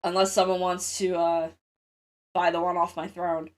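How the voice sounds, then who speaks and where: A woman's voice: on the low side, flat delivery, normal speed. A woman with a congested, sniffly nose chats casually to livestream viewers, rambling, interrupting herself and swearing freely.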